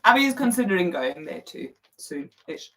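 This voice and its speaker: A young woman co-hosting a casual live chat, with a squeaky voice and a playful, giggly manner. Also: big pitch swings, moderate pace, fairly bright tone, low-quality recording. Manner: playful, giggly, sing-song. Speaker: co-host.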